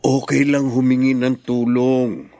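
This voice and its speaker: A man playing a concerned, caring older father in a radio drama, gently probing. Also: medium pitch, little pitch movement, a little slow, dry recording, natural voice. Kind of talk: Tagalog radio drama acting.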